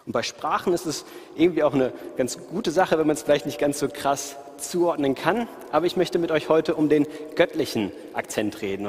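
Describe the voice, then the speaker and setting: A man from the Ruhr area with a light Ruhr accent, preaching in a relaxed, conversational way and talking straight to his listeners. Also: a little high. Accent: light Ruhr German. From Ruhr area, Germany. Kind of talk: sermon. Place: church hall, live audience and online stream. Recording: reverberant hall.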